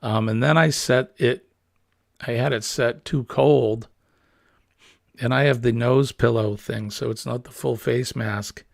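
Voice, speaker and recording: A man hosting a casual morning livestream, reading viewer comments in a relaxed, rambling way with lots of ums, his voice husky and a little smoky, recorded on a built-in computer mic. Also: mid-range voice, unhurried, no room sound, clean studio sound. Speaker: livestream host. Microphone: built-in computer webcam mic.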